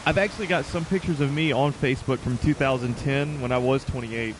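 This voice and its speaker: A man's voice: mid-range voice, a little fast, background noise. A man in his mid-thirties chatting casually with viewers as a video game livestreamer, in an informal, conversational way. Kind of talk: casual chat with stream viewers.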